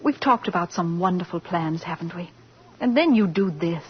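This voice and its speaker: A woman's voice: lowish voice, animated, normal speed, slightly rough. A woman playing a practical, sceptical sweetheart in a 1940s radio drama, level-headed and reproachful. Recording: dull 1940s radio broadcast.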